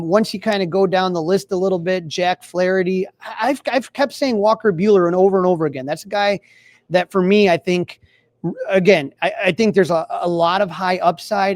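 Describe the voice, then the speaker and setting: A man's voice: high-pitched, steady tone, fairly quick, dry recording. An American man co-hosting a sports podcast in a casual, rambling way, often starting a phrase over.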